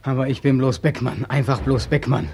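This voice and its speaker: An actor playing a despairing, homeless soldier back from war, emotional and pleading, in 1940s radio drama style. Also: male voice, mid-range voice, fairly quick, vocal fry, a little mellow, slightly rough, no echo. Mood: bitter, anguished. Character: despairing returned soldier, homeless, anguished and naive. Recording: dry 1940s radio recording.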